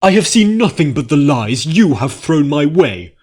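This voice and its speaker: A man's voice: a little high, big pitch swings, normal speed, a little mellow, breathy edge, voice pushed up. A man voicing a formal, earnest male unicorn noble with a dramatic manner in a fantasy story. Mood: horrified.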